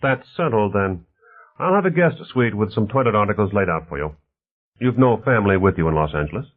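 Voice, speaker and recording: A man playing a genial, animated executive, phone-like sound. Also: on the low side, brisk, voice pushed up. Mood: hospitable. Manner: expansive, assured, hospitable. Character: genial, confident male executive of a futuristic city-building. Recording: narrow phone-like band.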